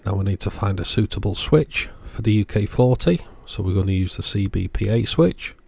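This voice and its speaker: Male host for hands-on repair narration: deep, creaky voice, plain step-by-step explaining. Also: expressive, normal speed, a little mellow. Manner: matter-of-fact, step-by-step explaining. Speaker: host of radio repair channel.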